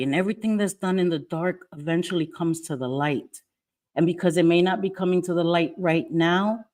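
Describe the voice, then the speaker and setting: A middle-aged woman from New York talks straight into her live stream, firm and defiant. Her voice sits a little low. She hammers her points home by saying them twice. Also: a little mellow. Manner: emphatic, repeats phrases, defiant. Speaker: livestream host. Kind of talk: casual livestream chat, reading viewer comments. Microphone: webcam or phone mic, live stream.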